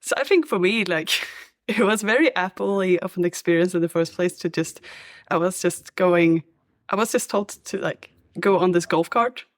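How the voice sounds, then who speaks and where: A woman's voice: lowish voice, moderate pace, no room sound, studio quality. A woman in her thirties with a Swedish accent, chatting casually over a video call, full of "like" fillers. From Sweden. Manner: chatty, many "like" fillers, laughs easily. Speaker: app developer, co-host. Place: indoor apartment room by a large window. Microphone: video call webcam.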